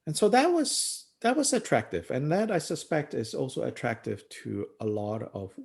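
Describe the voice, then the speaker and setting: A middle-aged man leads a book study as a Buddhist teacher. His voice is husky with a gravelly edge. His pitch swings freely as he muses aloud, pausing often and chuckling between thoughts. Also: wildly swooping pitch, darkish tone. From Malaysia. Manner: thoughtful, unhurried, many "you know"s and pauses, chuckles often. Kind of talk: reflective discussion talk.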